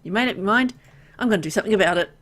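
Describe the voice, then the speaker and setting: A woman's voice: medium pitch, racing, background noise. A woman reading a video game's story aloud, mixed with casual, playful asides as she plays. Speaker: video game let's player.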